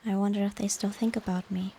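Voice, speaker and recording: A woman playing a tender, nostalgic former mermaid and devoted wife in a soft, unhurried, half-whispered ASMR roleplay. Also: mid-range voice, level tone, vocal fry, a little hiss or hum, lightly acted. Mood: wistful, homesick. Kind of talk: ASMR romantic roleplay, softly spoken.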